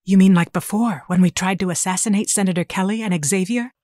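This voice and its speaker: A commanding woman schemer in an audio drama performed by an actress, with a mid-range voice. She is sharp-tongued and coldly calculating, a shapeshifter in official disguise. The sound is close and dry. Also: mid-range voice, brisk, dry recording, natural voice. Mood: brooding, calculating. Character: commanding, defiant shapeshifting mutant schemer posing as government doctor.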